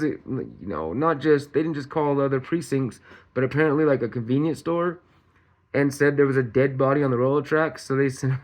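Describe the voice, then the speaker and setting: Man reading news aloud, stumbling over words. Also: on the high side. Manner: stumbles over words while reading, repeating and correcting himself. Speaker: host of a commentary channel reading news. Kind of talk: reading a news report aloud with casual commentary.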